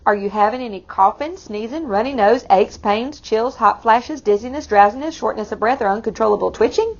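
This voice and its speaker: A woman's voice: on the high side, brisk, lo-fi sound. A woman voicing a puzzled, matter-of-fact male family doctor from a picture book, in a formal, pronouncing manner.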